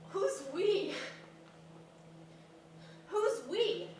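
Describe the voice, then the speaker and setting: A woman's voice: extremely high pitch, big pitch swings, very slow, a little mellow, exaggerated voice. A woman in a staged play reading, playing a sarcastic, defensive young college-dropout daughter. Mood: hurt, defensive. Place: echoey room at a staged reading, far mic. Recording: distant mic, echoey noisy room.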